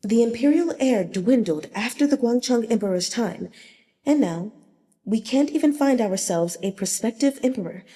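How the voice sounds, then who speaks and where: A woman voicing a composed, commanding empress dowager in an audiobook, regal and measured, with long reverb on the recording. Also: a little high, lively intonation, warm tone, lightly acted. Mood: composed, firm. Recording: long reverberant hall echo.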